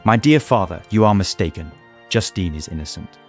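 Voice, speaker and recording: An actor playing a tormented young gentleman who narrates a dramatized audiobook in an earnest, formal manner. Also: low-pitched, expressive, brisk, soft background music. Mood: earnest insistence. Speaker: narrator and lead character.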